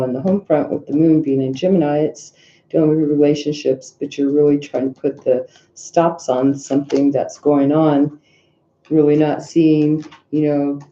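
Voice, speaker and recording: A nasal, congested woman talks through a daily tarot reading in a loose, rambling way, humming to herself between cards. Her voice is very dark and mellow. It sounds dull and lo-fi, in an echoey room. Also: deep voice. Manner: rambling, filler-heavy, hums to herself. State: congested sinuses. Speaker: tarot reader.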